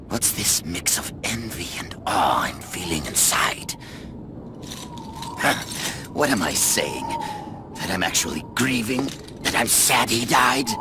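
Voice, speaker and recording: An actor playing a hardened, world-weary male warrior in a game cutscene, gravelly, cynical and brooding, often close to a whisper. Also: sits low, background noise, full character voice. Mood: grieving, resolved. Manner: slow, brooding delivery, often dropping near a whisper. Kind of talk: dramatic video game cutscene acting and narration.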